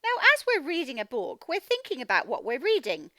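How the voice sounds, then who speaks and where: A woman teacher giving a children's read-aloud phonics lesson, speaking in an animated, emphatic, instructional way and sounding out words. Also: sits high, brisk, dry recording, clean studio sound. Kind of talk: children's read-aloud lesson, explaining directly to young learners.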